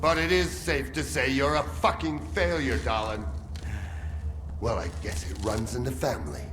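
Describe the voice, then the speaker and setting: An actor playing an abrasive, furious military colonel in a video game cutscene, profane and full of contempt. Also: male voice, mid-range voice, moderate pace, fairly bright tone, a little hiss or hum, natural voice. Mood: scornful.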